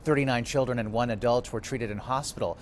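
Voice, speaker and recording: A man anchors a newscast. His voice sits at a medium pitch as he reads from a script. A low rumble of noise runs faintly underneath. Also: quick pace. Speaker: news anchor. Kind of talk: scripted news reading.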